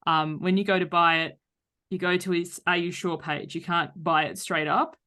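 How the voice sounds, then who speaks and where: A woman with a slightly low voice hosts a podcast conversation. She talks casually and enthusiastically, sharing things in an anecdotal way. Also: steady tone, moderate pace, bright, forceful tone. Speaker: podcast host.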